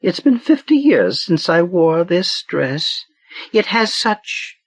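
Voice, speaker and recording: A man voicing a genteel, elderly woman murderer in a high, sugary falsetto with slow, lilting delivery. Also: very animated, husky, no room sound, exaggerated voice. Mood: dreamy, vacant. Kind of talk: audiobook narration.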